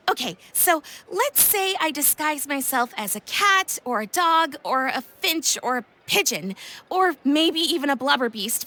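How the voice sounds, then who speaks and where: A woman's voice: high voice, pushed and bright. A woman playing a confident, enthusiastic young inventor in a video game, explaining things in a bubbly, persuasive, theatrical way. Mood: playful, eager. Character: Lapine Pauline, confident, enthusiastic young female tech inventor.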